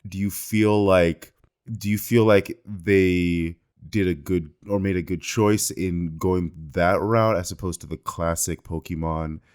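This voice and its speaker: A man co-hosting a podcast, talking casually about films in a measured, inquisitive way as he feels out his points. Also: deep voice, fairly even intonation, a little mellow, clean tone. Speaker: podcast co-host. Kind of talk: casual film discussion chat.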